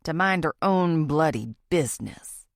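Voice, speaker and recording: A woman voicing a gruff, dismissive male police officer with a deep voice, curt and scornful, in a thriller audiobook. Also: expressive, measured pace, no echo, clean studio sound.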